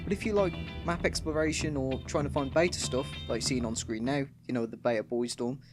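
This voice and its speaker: A man's voice: mid-range voice, a little fast, music in the background. A man hosting a gaming video with casual, explanatory commentary.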